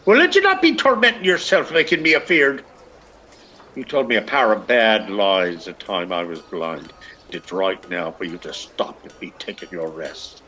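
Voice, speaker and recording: Grumbling ragged peasant, older, lazy and needling; piercing bright tone, radio play with faint outdoor noise. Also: male voice, lowish voice, sing-song, normal speed. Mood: whining protest. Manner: whining, lilting, grumbling. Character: ragged, lazy, complaining older Irish peasant man, sharp-tongued. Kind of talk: theatrical radio play acting.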